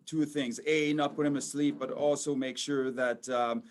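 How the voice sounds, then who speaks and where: A man's voice: medium pitch, steady tone, normal speed. Rambling man in his forties on a video call. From Quebec, Canada. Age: 40s. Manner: rambling, many fillers and restarts. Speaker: police sergeant major speaking to students. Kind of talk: informal talk to a school audience.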